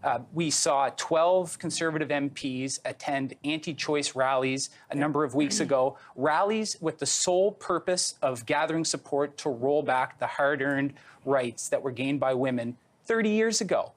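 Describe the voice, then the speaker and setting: A man's voice: on the high side, moderate pace. A man politician from Canada in a heated panel debate, pointed and persistent. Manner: pointed, persistent, talks over others.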